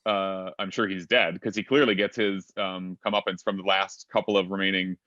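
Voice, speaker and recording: Deep-voiced man with dull, dry audio. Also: level tone, normal speed, bright, forceful tone, dry recording. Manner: chatty, full of fillers and hedges. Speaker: panelist. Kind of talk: casual panel discussion.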